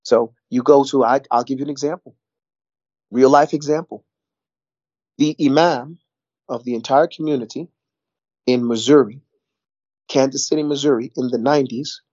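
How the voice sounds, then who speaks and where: A man's voice: mid-range voice, a little mellow, no room sound, highs cut off. A middle-aged man, talking casually in a rambling way, repeating words and saying "you know" a lot. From New York. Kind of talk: casual conversation.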